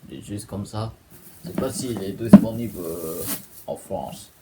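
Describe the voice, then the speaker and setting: Stuffy-nosed man, echoey and distant. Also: lowish voice. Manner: halting, many fillers and restarts. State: congested with a cold. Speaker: vlogger. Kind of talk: casual home video tour. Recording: echoey room, far from mic, steady noise.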